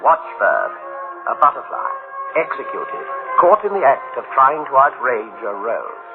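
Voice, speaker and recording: An actor playing a grim herald of catastrophe in a radio drama, clipped and portentous, on a narrow-band recording. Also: male voice, mid-range voice, wildly swooping pitch, measured pace, over music. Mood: ominous. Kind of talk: dramatic radio play narration.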